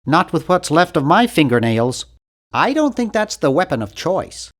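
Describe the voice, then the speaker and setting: A man's voice: a little high, very animated, moderate pace, fairly bright tone. A man voicing a nerdy, timid, fussy young hero of a cartoon adventure game, with a prim, wry, bookish delivery. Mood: squeamish, dry. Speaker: game character voice actor.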